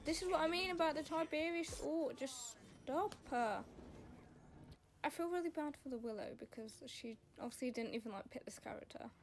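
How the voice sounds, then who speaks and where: A young woman casually commenting on her gameplay, rambling and self-deprecating, with loud breathing between sentences and a slightly croaky voice. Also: sits high, normal speed, slight background noise. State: voice going croaky. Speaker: gaming channel host. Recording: game music behind voice.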